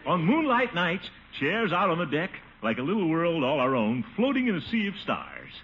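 Swooping, pompous radio sitcom blowhard. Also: male voice, a little high, huge pitch swings, moderate pace. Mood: grandly persuasive. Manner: theatrical, swooping, grandiose delivery. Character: pompous, blustery, wheedling middle-aged male water commissioner. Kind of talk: 1950s radio sitcom acting. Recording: muffled, faintly noisy old radio recording.

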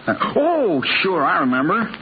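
A man's voice: sits high, huge pitch swings, normal speed, heavily acted. A man playing an eager, friendly, simple small-town blacksmith in a 1950s radio western, with a folksy, rambling American way of speaking. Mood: eager, helpful. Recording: tinny, faintly hissy 1950s radio recording.

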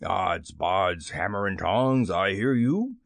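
Guy doing fake pirate captain voice, taunting, bombastic, real slow, storybook. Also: low-pitched, lively intonation, creaky, dry recording, pitched below the performer's own voice, exaggerated voice. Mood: bold. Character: mocking boy impersonating a bombastic pirate captain.

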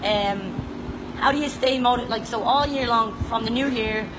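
A woman's voice: a little high, moderate pace. A woman hosting a casual live podcast with trainers, chatty, with frequent ums and laughs. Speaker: podcast host. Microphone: live stream cameras in a gym. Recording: loud background noise.

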